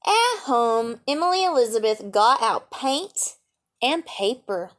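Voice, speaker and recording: A woman reading aloud to children as a storytime teacher, gently explaining and asking questions along the way. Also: fairly high pitch, sing-song, slow pace.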